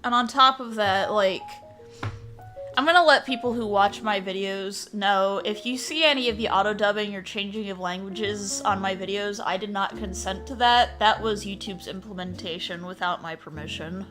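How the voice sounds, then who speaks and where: A woman's voice: medium pitch, normal speed, a bit bright, soft background music. A woman chatting casually on a livestream in a blunt, exasperated way with some swearing. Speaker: video creator and streamer. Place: at a desk, in a VR chat.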